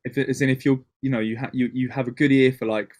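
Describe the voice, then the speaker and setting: A man from Wales, a DJ and producer, chatting as a guest in an interview, talking in quick bursts with many restarts. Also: medium pitch, little pitch movement, darkish tone. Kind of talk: casual interview chat.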